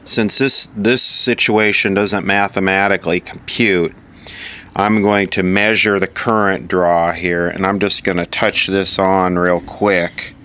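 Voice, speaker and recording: A man's voice: low voice, steady tone, measured pace, pushed and bright, clean tone. A man giving an informal hands-on repair tutorial, matter-of-fact and thinking aloud piece by piece as an instructor.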